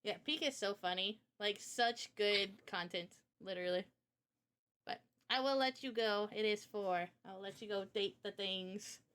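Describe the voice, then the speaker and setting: A woman's voice: mid-range voice, normal speed, fairly bright tone, clean tone. A woman streamer and game developer chatting casually with her audience in an informal, slangy way. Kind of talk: casual livestream chat.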